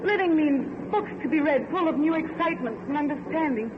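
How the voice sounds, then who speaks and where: Idealistic factory heir, very high pitch, pleading and commanding, theatrical old radio drama, faint hiss. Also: male voice, expressive, a little fast, narrow, tinny audio. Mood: urgent pleading. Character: idealistic, insistent factory heir, urgent and earnest. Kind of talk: 1940s radio horror acting.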